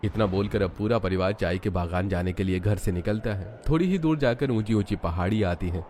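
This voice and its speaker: Low-pitched male story narrator. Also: brisk, background noise. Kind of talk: scripted cartoon story narration.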